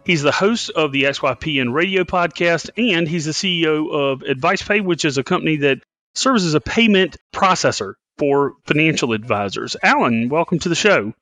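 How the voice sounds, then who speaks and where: A man hosting an interview podcast, friendly and measured, often restarting his phrases. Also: a little high, animated, fairly bright tone, no echo, soft background music. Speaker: podcast host.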